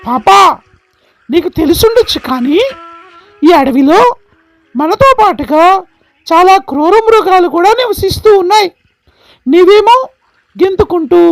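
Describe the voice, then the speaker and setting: A man's voice: very high voice, rough recording. A man voicing a worried, caring mother deer who patiently warns her child. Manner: gentle, warning, patient.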